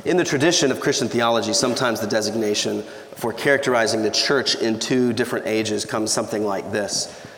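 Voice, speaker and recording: Male pastor, 30s, scratchy low voice, easygoing and wry, echoey church hall. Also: brisk, a bit bright. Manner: conversational, humorous, with restarts. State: scratchy voice from allergies. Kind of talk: sermon. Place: church sanctuary.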